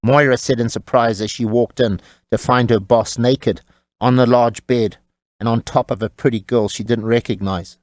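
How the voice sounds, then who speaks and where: A man narrating a scripted audiobook story in a measured, wry storytelling manner. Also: mid-range voice, fairly even intonation, darkish tone, no room sound, clean studio sound.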